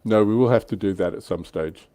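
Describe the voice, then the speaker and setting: Low-voiced middle-aged man hosting casually. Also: fairly quick, warm tone, no room sound. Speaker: livestream host. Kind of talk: casual livestream chat about tabletop wargaming.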